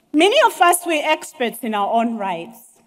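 A woman giving a heartfelt, expressive graduation speech at a podium in a large hall. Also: a little high. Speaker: graduating student speaker. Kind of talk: commencement speech. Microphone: podium mics. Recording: long hall reverb.

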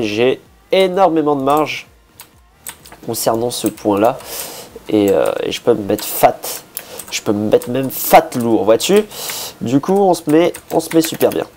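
A man with a hoarse voice, a bit sick, casually talking through a building project, thinking aloud with many hesitations and restarts. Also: fairly low pitch, dark tone, slight background noise. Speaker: Minecraft builder.

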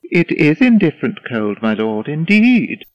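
An actor playing a foppish, flattering courtier in a Shakespearean audio drama, with a mannered, flowery, deferential delivery. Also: male voice, fairly high pitch, measured pace, no echo, dull audio. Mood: obsequious. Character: foppish, flattering young male courtier, wordy and eager.

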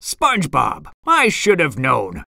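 A woman voicing a blustery, money-loving old male crab restaurant boss in a children's storybook, gruff and piratical. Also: rumbling low, wildly swooping pitch, slow, bright, forceful tone, dry recording, studio quality, full character voice. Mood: indignant.

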